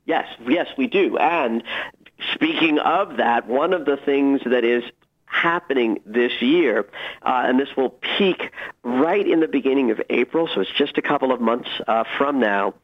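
A man speaking as a guest astrologer in a conversational radio interview, explaining things in an animated, emphatic way with expressive phrasing. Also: mid-range voice, a little slow, highs cut off.

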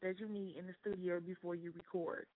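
A woman's voice: on the low side, level tone, a little fast, dry recording, phone-quality sound. A woman hosting a radio interview, chatty, dropping frequent "okay"s and "um"s. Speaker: radio show host.

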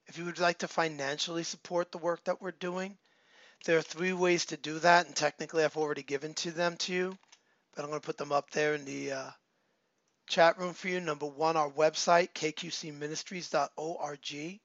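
A man's voice: a little high, fairly even intonation, normal speed, a bit bright, no echo, dull audio. A man pastor giving announcements and appeals in an online church service, earnest and repetitive, his voice still worn from a recent cold. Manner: earnest, repeats key phrases, many filler ums. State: recovering from a cold, voice not fully back.

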